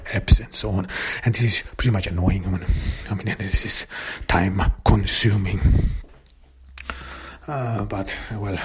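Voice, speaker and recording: A man whose first language is German, hosting his own podcast in casual talk, halting with many fillers and restarts. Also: sits low, background noise. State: recovering voice after illness. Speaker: podcast host and author.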